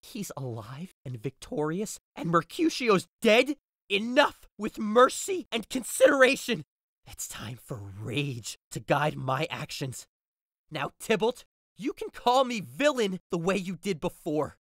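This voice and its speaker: A man's voice: high-pitched, big pitch swings, measured pace, a bit bright, no room sound, studio quality, full character voice. An actor playing an impassioned young nobleman, emotional and declamatory, in a modernized Shakespeare audio drama. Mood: enraged. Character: impassioned, grief-stricken young nobleman turned vengeful.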